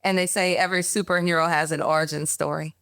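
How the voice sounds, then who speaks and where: A woman hosting a podcast, reading a scripted introduction and asking interview questions in a measured, even way. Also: sits low, no echo, clean studio sound. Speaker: podcast host. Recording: music bed behind the voice. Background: music.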